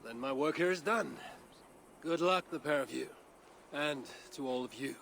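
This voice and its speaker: An actor playing a self-assured, stern and wry Norse god with a gravelly voice, in a video game cutscene. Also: male voice, fairly high pitch, moderate pace, fairly bright tone, a little hiss or hum. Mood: steady, resolute.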